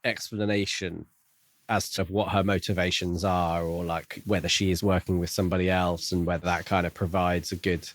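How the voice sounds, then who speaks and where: A man's voice: deep voice, flat delivery, moderate pace, no echo. A man co-hosting a podcast, musing and speculating in a casual discussion about a book. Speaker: podcast co-host.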